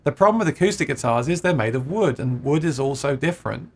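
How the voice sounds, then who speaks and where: A man's voice: mid-range voice, expressive, quick pace. Chatty man hosting a livestream. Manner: chatty, laughs often, many restarts. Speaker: livestream host reading viewer comments. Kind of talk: casual chat about guitars.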